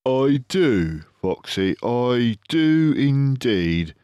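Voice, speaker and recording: A man voicing a gentle, anxious, respectable badger, speaking in a hesitant, bumbling way for a children's storybook. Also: mid-range voice, sing-song, very slow, clear, resonant tone, no room sound, pitched above the performer's own voice. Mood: earnest.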